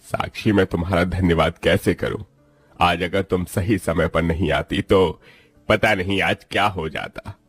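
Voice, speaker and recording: A man's voice: low voice, steady tone, measured pace, husky, soft background music, exaggerated voice. A man playing a busy, trusting rich young businessman in a moral story, earnest and dramatic. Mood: grateful.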